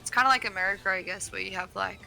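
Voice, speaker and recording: A woman's voice: mid-range voice, very fast, vocal fry, sharp tone, soft background music, poor audio. A young woman born in England with an Australian accent, co-casting a card game stream in playful, teasing casual chat. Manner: playful, laughs often, teasing. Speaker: co-caster.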